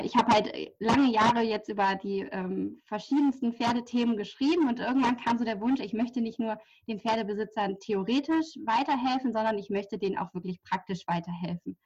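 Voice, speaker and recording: A woman's voice: medium pitch, a little mellow. Chatty woman guest, slightly muffled sound. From near Hamburg, Germany. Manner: chatty, many fillers, laughs lightly. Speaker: podcast guest, journalist and horse therapist. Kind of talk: casual interview talk. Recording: slightly dull lo-fi audio.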